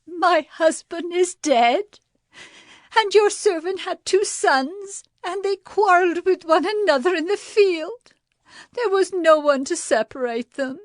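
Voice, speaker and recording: A woman's voice: extremely high pitch, dry recording, clean studio sound. A woman playing a grief-stricken, pleading village widow in a mournful, imploring style for a dramatized audio Bible. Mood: anguished pleading. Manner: slow, imploring, mournful delivery.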